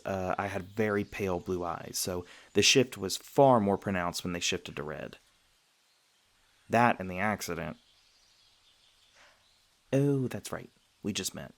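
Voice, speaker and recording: A low-pitched, soft-hearted male vampire, played by a man, speaks closely to one listener in an ASMR roleplay. He is earnest and self-conscious, often hesitating or stammering as he gently explains himself. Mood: awkward, apologetic. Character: gentle, self-conscious male vampire, century old but youthful, sweetly flustered.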